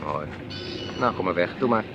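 A man's voice: low voice, animated, moderate pace, muffled recording, over loud music. An actor playing an impatient, grumbling man who likes to tease, in a naturalistic radio play. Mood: matter-of-fact. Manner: brusque, exclamatory, teasing. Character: impatient, grumbling, teasing man on a park outing.

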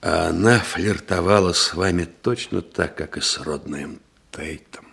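An actor playing an astute, composed doctor-detective in a Russian radio play, speaking in a controlled, deliberate, accusing way. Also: male voice, sits low, vocal fry, fairly bright tone, breathy edge, exaggerated voice. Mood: probing. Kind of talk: measured theatrical Russian radio drama acting.

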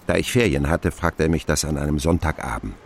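A man playing a grown narrator who recalls his boyhood in a radio play, telling it in a measured, intimate way. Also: extremely low pitch, slight background noise. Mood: reflective. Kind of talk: scripted first-person radio narration.

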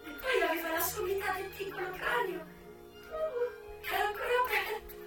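A young single mother who once sold herself, telling her life in a theatrical monologue. Her voice is high and emotional, moving between hushed confession and open wailing. It sounds distant in a heavily reverberant space, with music clearly behind it. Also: expressive, moderate pace. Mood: shaken, wondering. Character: young American former prostitute, single mother, raw and defiant. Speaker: stage actress performing a monologue. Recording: distant, very echoey stage recording with music.

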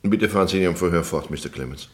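Stern, deep-toned male judge, played by an actor. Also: racing, close to an everyday voice. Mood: interrupting, authoritative. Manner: commanding, reproachful. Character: stern, authoritative male judge, His Lordship. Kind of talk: German radio courtroom drama acting.

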